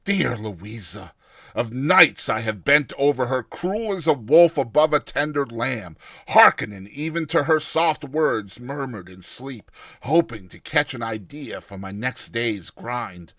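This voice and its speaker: A man reading a classic short story aloud as a dramatized first-person narration, with a theatrical, expressive delivery. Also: medium pitch, measured pace, dry recording, clean studio sound.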